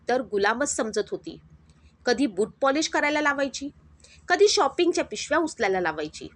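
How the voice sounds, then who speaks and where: High-voiced woman storyteller. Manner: steady, dramatic storytelling. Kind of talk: scripted story narration.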